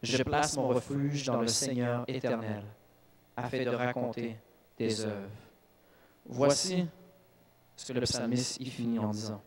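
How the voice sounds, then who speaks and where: A man, a native English speaker, preaching in a church with lively bursts of emphasis and frequent restarts. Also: medium pitch, unhurried, pauses often. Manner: animated preaching with restarts and self-corrections. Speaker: youth speaker. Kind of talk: sermon.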